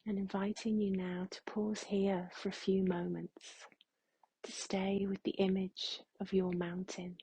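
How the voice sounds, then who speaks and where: Soft, breathy woman guiding a slow meditation. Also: mid-range voice, level tone, no room sound, low-quality recording. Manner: gentle, unhurried, with long pauses. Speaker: meditation guide. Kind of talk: guided meditation.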